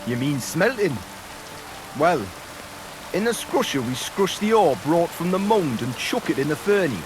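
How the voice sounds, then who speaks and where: An actor playing a plain-spoken medieval smelter laborer with rough dialect talk, in video game dialogue. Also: male voice, on the high side, very animated, unhurried, background noise. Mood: explaining. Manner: dialect-heavy working-man talk, evasive. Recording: game audio with loud rain.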